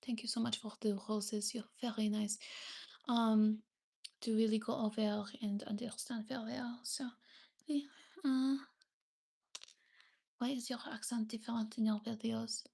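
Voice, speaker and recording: A woman's voice: a little high, level tone, a little slow, vocal fry, pauses often, warm tone. A young woman chatting casually on a live stream, reading viewer comments and thanking them often, with frequent hesitations. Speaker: livestreamer.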